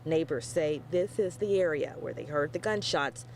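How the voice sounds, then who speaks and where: High-pitched male crime news reporter. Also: normal speed, slight background noise. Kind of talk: scripted news narration.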